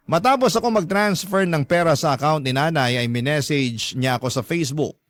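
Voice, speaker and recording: Male actor, young letter sender, first-person drama narration, earnest, measured, confiding, middle pitch, dry studio. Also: dry recording, natural voice. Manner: polite, confiding, measured storytelling. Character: earnest, hurting young letter sender recounting family troubles.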